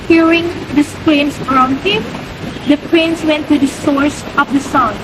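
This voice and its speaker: A woman's voice: sits high, steady tone, a little slow. A young woman reading scripted folktale narration as the storyteller. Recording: noisy background hum.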